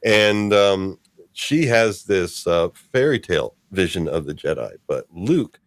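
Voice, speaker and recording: Male host, low register, dry close sound, informal rambling fan commentary. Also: lively intonation, measured pace, dry recording. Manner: chuckling, rambling fan talk. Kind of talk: casual live-stream discussion.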